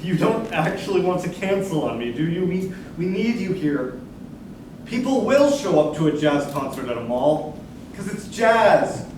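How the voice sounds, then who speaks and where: A young man performs a nostalgic, anxious mall manager in a musical stage reading. His high voice moves slowly and earnestly. It carries from far away through a very echoey room. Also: big pitch swings, noisy background. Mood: pleading, surprised. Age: young adult. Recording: distant, very echoey hall stage recording.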